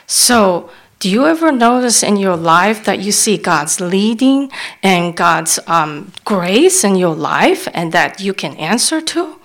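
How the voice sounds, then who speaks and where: A woman interpreting a sermon into English as it happens, often halting and restarting while she searches for words. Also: mid-range voice, very animated.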